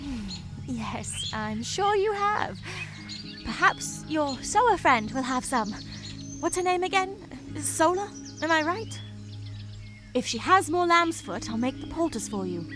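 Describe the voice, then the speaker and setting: A woman's voice: high voice, sing-song, takes it slowly, over music, heavily acted. A woman voicing a prim, fussy female rabbit healer in a fantasy game, chatty and lecturing with a condescending manner. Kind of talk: visual novel voice acting.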